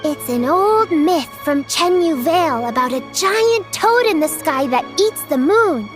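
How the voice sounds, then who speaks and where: A woman's voice: very high-pitched, animated, unhurried, over music. A woman voicing a kind, sensible, chatty young girl in a video game. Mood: matter-of-fact.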